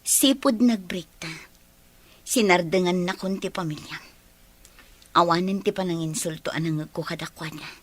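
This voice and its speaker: A woman's voice: lowish voice, moderate pace, pauses often, natural voice. A woman with an Ilocano Filipino accent playing a sorrowful, resigned young girlfriend in a radio drama. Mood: cold, hurt.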